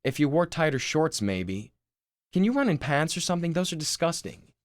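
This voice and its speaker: A man's voice: on the high side, very animated, moderate pace, dry recording, clean studio sound, voice pushed up. Man playing an exasperated, sarcastic teenage boy. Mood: incredulous. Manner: sardonic, incredulous. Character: exasperated, sarcastic eighteen-year-old son.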